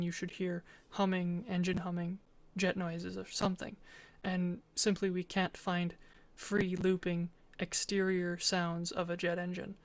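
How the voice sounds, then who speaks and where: A woman giving an informal, matter-of-fact presentation as a student, explaining and narrating a game demo. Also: lowish voice.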